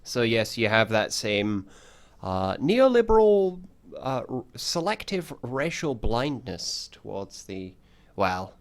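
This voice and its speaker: A man's voice: medium pitch, very animated, slow pace. A man hosting a podcast, rambling and wry, often trailing off mid-thought. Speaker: podcast host. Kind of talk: casual podcast discussion.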